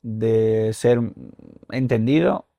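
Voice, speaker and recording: A man's voice: fairly low pitch, extremely slow, creaky, warm tone, dry recording. A man hosting a podcast, asking informal questions that often trail off mid-sentence. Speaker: podcast host. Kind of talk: casual interview questions.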